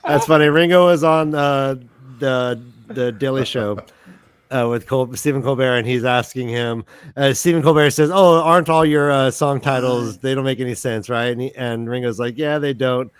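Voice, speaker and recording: A man's voice: medium pitch, fairly even intonation, normal speed, clean tone, no echo. A man on a casual book-discussion podcast, playfully telling anecdotes. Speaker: podcast co-host.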